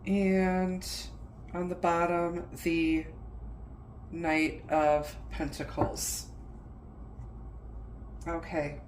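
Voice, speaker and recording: Slow-talking stuffy-nosed woman tarot reader. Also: fairly low pitch, completely flat delivery, a little mellow, roomy sound, slight background noise, rough recording. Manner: slow, chatty, musing aloud over cards. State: stuffy nose from the flu. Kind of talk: casual tarot reading.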